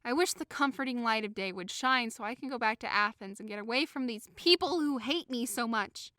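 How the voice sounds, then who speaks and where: Forlorn, highish young woman. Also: fairly quick, bright, forceful tone, dry recording, clean studio sound. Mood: weary, miserable. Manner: plaintive, sighing, theatrical. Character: forlorn young woman, rejected and lost in forest. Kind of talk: audio drama acting of modernized Shakespeare.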